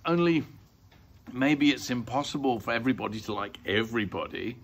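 A man's voice: medium pitch, brisk, slightly rough. A man voicing a plucky, sensitive little boy in a storybook, in an earnest, plain-spoken way. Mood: thoughtful.

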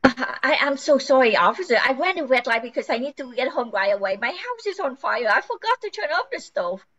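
A woman's voice: high-pitched, very animated, fairly quick, fairly bright tone, highs cut off, full character voice. An older woman playing a frantic, forgetful housewife who pleads anxiously, in an amateur radio play. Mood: flustered.

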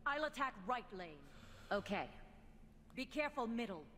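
A woman's voice: a little high, very animated, unhurried, pushed and bright, full character voice. An actress voicing a female video game fighter who gives clipped, alert tactical callouts. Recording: long reverb, faint noise, game playback.

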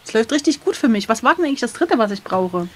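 A woman chatting casually and expressively as she plays through an adventure gamebook, quick to exclaim. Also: fairly high pitch, animated. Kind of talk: casual chat while playing.

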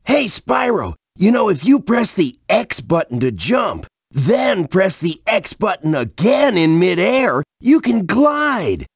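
High-pitched game sidekick, eager and helpful, bouncy, wildly swooping, slightly gravelly. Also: male voice, big pitch swings, slow, hardly any pauses, no room sound, clean studio sound, full character voice. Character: eager, helpful small creature guiding the young dragon hero.

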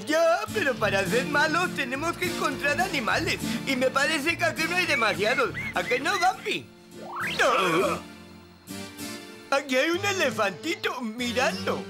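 A man's voice: very high-pitched, very animated, measured pace, heavily acted. A man voicing a dim-witted, childish, mischievous troll with a simple-minded, giggly manner in a cartoon dub. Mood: silly glee. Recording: loud music behind the voice.

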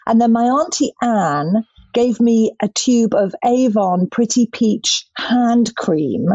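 A woman in her sixties, a guest telling lively stories from her childhood over a video call. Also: on the high side, takes it slowly, no room sound. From Scotland and southern England. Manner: lively, expressive, gestures a lot with her hands. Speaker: skincare brand founder, guest. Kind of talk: storytelling interview answers. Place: bright living room at home. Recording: dry, slightly dull video-call audio.

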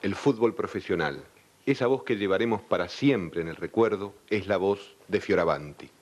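A man's voice: low-pitched, normal speed, a little mellow, a little hiss or hum. A man in his forties from the interior, reflecting on memories at a studio table microphone. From Argentine interior. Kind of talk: reflective spoken recollection. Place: television studio. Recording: slightly dull studio sound.

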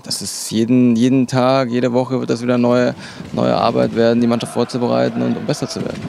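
Creaky, quick, flat-toned man. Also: medium pitch. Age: 30s. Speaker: basketball coach. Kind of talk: post-game interview. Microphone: handheld interview microphone. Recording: faint background noise.

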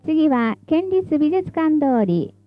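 A woman's voice: high voice, big pitch swings, slow, dull audio, soft background music. A woman reading scripted tram announcements in a formal, polite, carefully enunciated way, as the stop announcer.

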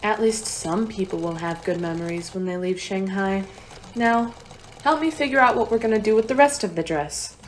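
A woman's voice: medium pitch, normal speed, slight background noise, lightly acted, low-quality recording. A woman acting a determined, anxious young refugee mother in a radio play, speaking earnestly. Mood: wry, busy.

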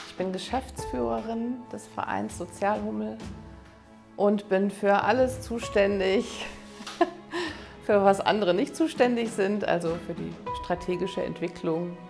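Woman, fifties, director presenting her organisation; creaky mid-range voice, clear music bed. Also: fairly low pitch. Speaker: managing director. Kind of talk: interview self-introduction for a promotional film. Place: bright meeting room indoors. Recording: music behind the voice.

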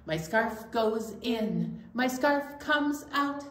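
A woman's voice: fairly high pitch, extremely slow. A middle-aged woman hosting an interactive children's storytime in a playful way, recorded indoors at some distance. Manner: playful, animated. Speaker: children's library storytime host. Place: indoor room with fabric backdrop. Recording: roomy, distant mic, background noise.

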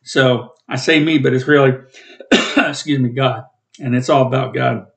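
A man in his late fifties with a rough, coughing throat, giving a rambling, plainspoken Bible study from home over a webcam. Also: medium pitch, steady tone, unhurried. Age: 50s. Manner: rambling, plainspoken, self-corrects. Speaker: Bible teacher and channel host. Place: small room at home, indoors.

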